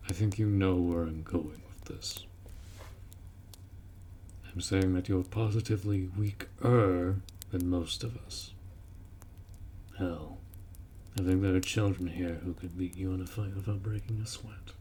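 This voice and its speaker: A man playing a gruff, dutiful orc warrior in a fantasy roleplay audio drama, blunt and protective as he speaks to the listener. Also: low-pitched, fairly even intonation, unhurried, long pauses, warm tone, slight background noise. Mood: teasing, blunt.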